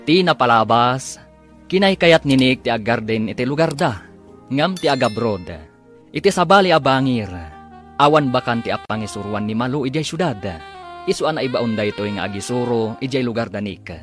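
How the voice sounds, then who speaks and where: A man's voice: medium pitch, expressive, normal speed, fairly bright tone. Guy announcing a radio serial, mid-range voice, music under him. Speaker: radio drama announcer and narrator. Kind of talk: scripted recap narration.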